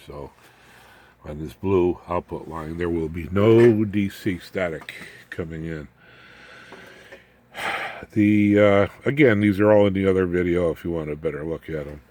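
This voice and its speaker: A man's voice: deep voice, darkish tone. A man giving an informal, unscripted technical walkthrough of a home-built electronics project in a folksy, jokey, rambling way. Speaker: amateur radio hobbyist presenting his home-built project.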